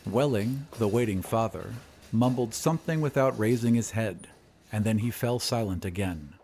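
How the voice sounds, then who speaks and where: A man reading fiction as a podcast narrator, in an expressive, scripted storytelling style. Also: on the low side, moderate pace, a little hiss or hum.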